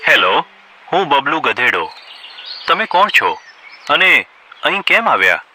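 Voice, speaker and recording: A man voicing a crafty male donkey in a cartoon, smooth-talking and scheming. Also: a little high, sing-song, unhurried, sharp tone, exaggerated voice. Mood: sly. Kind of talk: cartoon voice acting. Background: background music.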